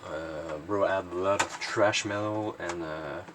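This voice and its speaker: A man's voice: low-pitched, measured pace, noisy background. A man presenting his collection in a casual, unscripted show-and-tell, rambling and hesitant with lots of filler words.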